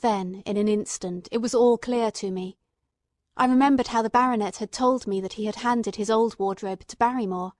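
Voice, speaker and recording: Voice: mid-range voice, moderate pace, no echo, natural voice. A performer playing an earnest, emotional male companion who narrates a radio mystery in a literary, fervent manner. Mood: somber narration. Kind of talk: dramatic scripted narration, old-time radio mystery.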